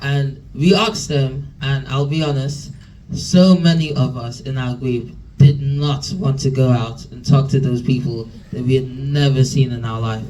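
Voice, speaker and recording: A man's voice: medium pitch, fairly even intonation, a little slow, hardly any pauses, dark tone, recorded from a distance, poor audio. A young man, mentee telling a story to a room, chatty with many fillers and restarts. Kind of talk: nervous personal story at a talk. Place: room with an audience. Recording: from across a room, faint room noise.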